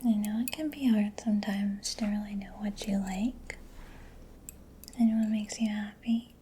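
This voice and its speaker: A woman speaking in a soft, breathy whisper, gentle and soothing, in a close-miked ASMR personal attention roleplay as a mechanic. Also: mid-range voice, steady tone, unhurried, a little hiss or hum. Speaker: ASMR roleplayer playing a robot repair mechanic. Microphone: close microphone.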